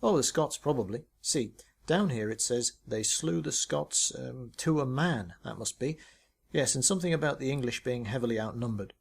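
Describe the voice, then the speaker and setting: A man's voice: mid-range voice, animated, normal speed, vocal fry, breathy edge. Guy doing a mild bookish bloke in a short story, hesitant, musing, bit pedantic.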